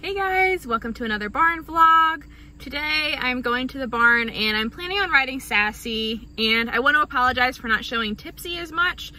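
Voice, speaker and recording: A woman's voice: high voice, animated, normal speed. A woman in her twenties chatting casually to her phone from a parked car, upbeat and full of fillers. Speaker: horse vlogger. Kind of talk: casual vlog chat. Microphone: phone at arm's length.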